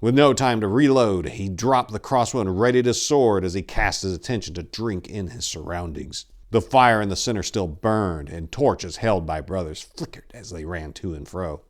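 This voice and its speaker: A man narrating in the style of a dramatic story reading, with a theatrical, measured delivery. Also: sits low.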